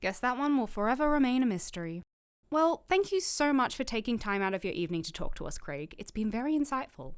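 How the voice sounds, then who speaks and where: A woman's voice: fairly high pitch, sing-song, quick pace, clear, resonant tone, no room sound, studio quality. A woman playing a chatty, curious amateur radio co-host and Earth enthusiast in an audio drama. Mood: interested.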